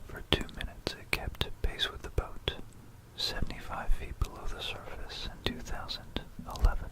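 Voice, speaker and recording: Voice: normal speed, rough recording. An ASMR presenter narrating history in a whisper, musing aloud between passages, close to a stereo microphone. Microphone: close stereo binaural microphone.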